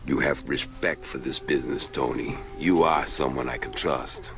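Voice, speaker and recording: An actor playing a shrewd, self-assured island drug boss in a video game, speaking in a measured, menacing way. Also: male voice, rumbling low, steady tone, creaky, music in the background. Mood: confident, smooth. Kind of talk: video game cutscene acting.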